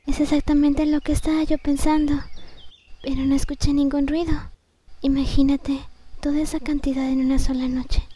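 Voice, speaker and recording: A woman's voice: high voice, level tone, normal speed, dark tone, slight background noise, natural voice. A woman playing a polite, deferential young maid in an anime fandub, with a Latin American Spanish accent. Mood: apologetic. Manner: formal, deferential. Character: dutiful, polite young maid serving a mansion's lady.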